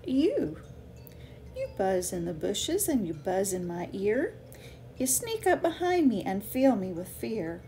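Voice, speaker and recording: A woman reading a children's picture book aloud with expressive, deliberate phrasing for young listeners. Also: mid-range voice, slight background noise. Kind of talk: read-aloud children's storytelling.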